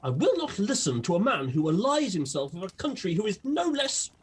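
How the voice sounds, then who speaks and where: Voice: mid-range voice, sing-song, a little fast, husky. A performer playing an eccentric, gluttonous, unhinged detective in a comic radio play, grandiloquent, with a British accent. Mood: pompous, rambling. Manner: grandiloquent, theatrical.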